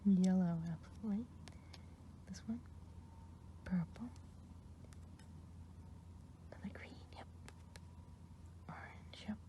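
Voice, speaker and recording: A woman playing a kindly good witch healer in an ASMR roleplay, speaking in a soft, soothing whisper. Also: medium pitch, slow, long pauses, highs cut off, natural voice.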